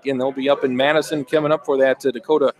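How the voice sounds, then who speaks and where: A man's voice: mid-range voice, flat delivery, very fast. A man hosting a sports radio show, asking conversational, leading questions.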